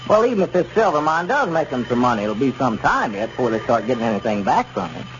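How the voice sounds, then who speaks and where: An actor playing an easygoing, sensible small-town store owner in a 1930s radio comedy. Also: male voice, mid-range voice, sing-song, fast talker, soft background music, close to an everyday voice. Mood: skeptical. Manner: chuckling, level-headed. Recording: dull, noisy 1930s radio recording.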